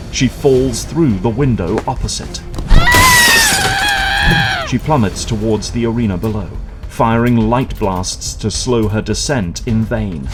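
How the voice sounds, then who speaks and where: A man delivers scripted dramatic narration as the narrator of an audio drama. His voice sits on the low side, with music clearly playing behind it.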